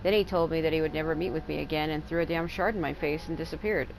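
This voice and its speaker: A woman's voice: low voice, fairly even intonation, quick pace, gravelly, slight background noise, low-quality recording. A woman giving casual game commentary as a streamer, informal and blunt, with swearing. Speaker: gaming streamer. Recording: game music behind voice.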